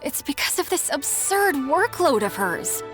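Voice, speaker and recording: A woman's voice: high voice, big pitch swings, a little slow, music in the background. A woman voicing a frustrated, blunt, overworked secretary in a video game, with a complaining manner.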